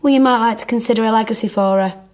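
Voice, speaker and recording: A practical, tactful female adviser played by an actress, a little high in pitch. She is polite and matter-of-fact, in a naturalistic radio play. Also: rapid-fire, darkish tone, natural voice. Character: tactful, practical woman handling an estate. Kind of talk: naturalistic radio drama acting.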